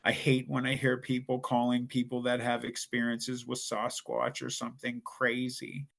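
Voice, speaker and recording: A man's voice: medium pitch, completely flat delivery, normal speed, gravelly, fairly bright tone. A man from Ohio, a podcast guest, tells his story in an earnest, rambling way, often restarting his sentences. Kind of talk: casual storytelling.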